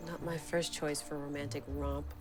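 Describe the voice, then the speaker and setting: Actress as a low-voiced, wry female detective. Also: flat delivery, a little fast, music in the background, natural voice. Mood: wry, cool. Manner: dry, unflappable, inquisitive. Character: cool, wry, confident female private detective. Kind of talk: period TV drama acting.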